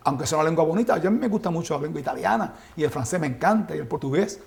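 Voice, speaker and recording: A man's voice: fairly high pitch, lively intonation, rapid-fire, creaky, a little mellow. A middle-aged man teaching a Bible study, reading scripture aloud and then explaining it with rhetorical questions.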